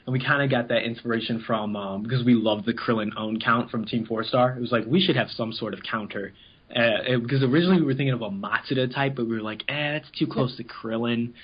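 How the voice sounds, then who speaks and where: A man's voice: mid-range voice, fairly even intonation, quick pace, breathy edge, muffled recording. A man chatting casually as an interview guest, telling anecdotes in a rambling, informal way and acting out what people said. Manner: rambling, informal, acts out quoted remarks. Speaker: interview guest, amateur voice actor in an abridged anime series.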